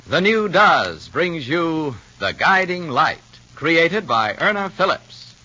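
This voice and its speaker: A man with an American accent reading as a scripted 1950s radio announcer, emphatic and deliberate. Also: on the high side, very animated, sharp tone. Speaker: announcer and narrator. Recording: dull vintage radio recording.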